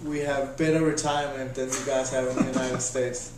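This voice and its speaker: A man in his thirties with a Danish accent, answering interview questions in a halting, casual way with many restarts. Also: mid-range voice, level tone, music in the background. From Denmark. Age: 30s. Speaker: interviewee, volunteer volleyball coach. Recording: echoey room, voice from a distance.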